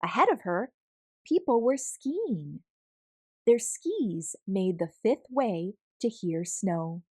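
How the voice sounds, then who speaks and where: A woman reads a picture book aloud for children. Her delivery is expressive and deliberate, with a very lively melody and an unhurried pace. The sound is dry and close. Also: mid-range voice, husky, no echo. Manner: animated, deliberate storytelling with acted sound words. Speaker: storybook read-aloud host.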